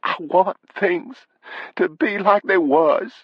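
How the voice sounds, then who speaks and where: A man's voice: a little high, a little slow, no echo, lo-fi sound, voice pushed up. A man voicing an anxious young wife from the American South, pleading in a plaintive, worried drawl. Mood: tearful pleading.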